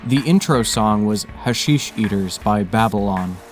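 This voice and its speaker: A man's voice: fairly low pitch, measured pace, soft background music. A man reading scripted material as the narrator and host of a fiction podcast.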